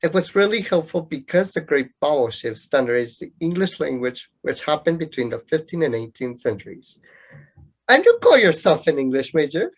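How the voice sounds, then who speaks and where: A man's voice: fairly high pitch, sing-song, moderate pace, husky. A man playing a competitive young student in a radio play, explaining things to show off his knowledge. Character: competitive know-it-all young male student rival in love.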